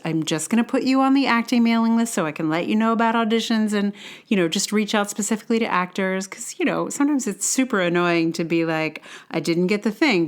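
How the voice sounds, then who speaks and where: A woman's voice: on the low side, clear, resonant tone. A woman hosting her own podcast, sharing personal reflections in a conversational, wry and self-deprecating way. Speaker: podcast host and audio drama producer. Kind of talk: personal essay read aloud, then casual aside.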